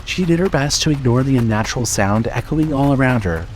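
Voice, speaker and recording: A man's voice: medium pitch, expressive, fairly quick. A man narrating a scripted horror story in a dramatic style. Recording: loud ambient noise behind voice.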